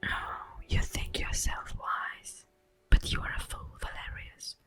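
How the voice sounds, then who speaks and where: A woman playing an ancient, wistful immortal elf in a slow, breathy whispered ASMR roleplay monologue. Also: fairly bright tone. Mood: chiding, sorrowful. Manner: slow, theatrical, lingering pauses. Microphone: close microphone. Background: faint music.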